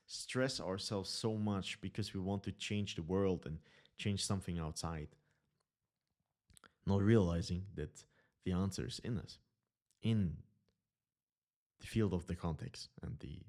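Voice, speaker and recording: A man with a German accent, a native German speaker, earnestly teaching about consciousness over slides and often pausing to correct his words. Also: sits low, darkish tone. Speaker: consciousness mentor.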